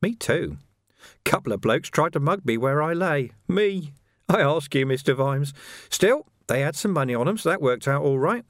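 A man's voice: a little high, lively intonation, moderate pace, no echo, clean studio sound, voice pushed up, close to an everyday voice. A man voicing a sly, cheerful male criminal who mocks and wheedles, laughing often. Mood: wheedling.

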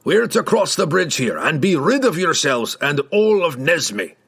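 Fantasy audiobook dwarf: growling, hot-headed, rustic, big swings in pitch. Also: male voice, big pitch swings, a little slow, creaky, fairly bright tone, breathy edge, exaggerated voice. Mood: gruff, resolute. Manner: growling, barking, rustic folksy speech. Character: gruff, defiant, quick-tempered male dwarf warrior.

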